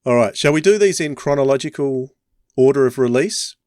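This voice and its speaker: A male podcast host chatting casually at a middling pitch. The sound is close and very dry, with no room echo. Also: lively intonation, a little fast, darkish tone.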